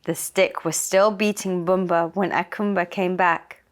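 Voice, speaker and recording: Slow-paced woman telling a children's story. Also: lowish voice, fairly even intonation. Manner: simple, deliberate telling for small children. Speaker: puppet show storyteller. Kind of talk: scripted children's story narration.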